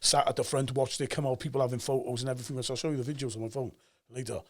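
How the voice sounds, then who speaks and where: A man in his forties with a Cardiff Welsh accent, talking as a guest on a podcast and telling stories with emphatic energy. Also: medium pitch. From Cardiff, Wales. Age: 40s. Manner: animated storyteller, fast and emphatic, acts out quoted voices. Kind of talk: casual podcast chat.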